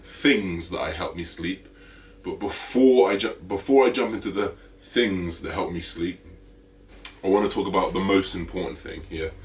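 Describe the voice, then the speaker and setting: A man with a deep, slightly raspy voice, talking casually to camera in a fitness vlog with lots of fillers. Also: moderate pace, recorded from a distance, a little hiss or hum. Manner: energetic, chatty, full of fillers. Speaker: fitness vlogger.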